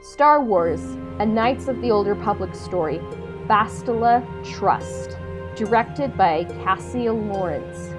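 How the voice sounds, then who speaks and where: A woman's voice: mid-range voice, animated, slow. A woman reading scripted credits as an announcer. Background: music.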